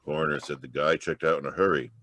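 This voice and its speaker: An actor playing a smug, needling homicide inspector in 1940s radio crime drama style, taunting and sardonic. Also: male voice, a bass voice, fairly even intonation, quick pace, fairly bright tone, no echo.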